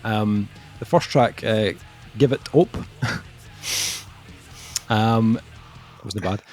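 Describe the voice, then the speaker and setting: A man's voice: fairly low pitch, a little mellow, faint music behind. A young man reviewing an album on a podcast, talking casually with lots of ums and joking at himself. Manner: halting, lots of ums, jokey self-mockery.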